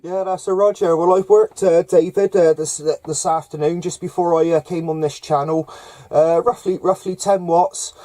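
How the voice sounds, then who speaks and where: High-pitched man on CB radio. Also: fairly even intonation, warm tone, dry recording. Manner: hesitant, halting delivery. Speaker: amateur CB radio operator running contacts. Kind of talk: casual radio chat with call signs and jargon. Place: camper van up in the hills.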